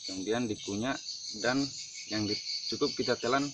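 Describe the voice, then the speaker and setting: Halting man over loud insect noise. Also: mid-range voice. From North Buton, Southeast Sulawesi, Indonesia. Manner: halting, explanatory delivery. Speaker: herbal remedy video presenter. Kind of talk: informal demonstration vlog. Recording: noisy outdoor audio with insects and birds.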